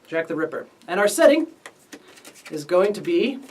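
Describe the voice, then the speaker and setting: A man's voice: sits high, very animated, normal speed, far from the mic. A man hosting a casual writing lesson as the instructor. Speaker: writing instructor and series host.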